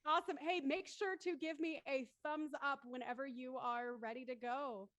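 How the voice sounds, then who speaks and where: High-voiced woman hosting, dry close sound. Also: steady tone, normal speed, fairly bright tone, no echo. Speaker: host of a live audio drama show. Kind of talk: upbeat casual hosting.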